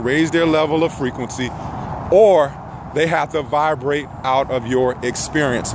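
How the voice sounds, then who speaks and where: Man, middle-aged, slightly high voice, earnest self-help vlogger, halting phrases, clear outdoor noise on a handheld phone. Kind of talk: motivational talk to camera while walking outdoors. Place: outdoors on a path among trees. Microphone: phone held at arm's length. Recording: noisy outdoor phone recording, traffic ambience.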